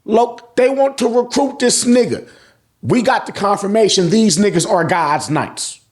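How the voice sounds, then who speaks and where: A man's voice: sits high, measured pace. An American man hosting a casual, slangy manga review, swearing freely and repeating phrases for emphasis. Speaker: host of a manga review show. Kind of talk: casual, slangy chapter recap commentary.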